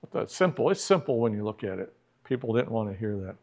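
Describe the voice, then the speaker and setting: A man's voice: on the low side, sing-song, fast, vocal fry, darkish tone. A middle-aged man teaching a church history class in a church classroom, talking conversationally, putting questions to the class and acting out the people he quotes.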